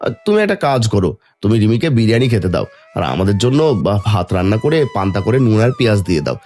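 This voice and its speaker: A man playing a poor, gentle, doting village father in a Bengali cartoon, pleading and humble. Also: on the low side, big pitch swings, quick pace. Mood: apologetic. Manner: pleading, humble, soft-hearted. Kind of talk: Bengali fairy tale cartoon dubbing. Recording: music under the voice.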